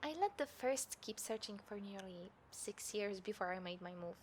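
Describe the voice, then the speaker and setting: A woman playing a taunting, confident serial killer in a scripted audio roleplay, speaking to the listener with smug, deliberate menace. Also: mid-range voice, lively intonation, a little hiss or hum, lightly acted. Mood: smug, boastful. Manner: smug, menacing, deliberate, dropping into breathy whispers. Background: city ambience sound effects.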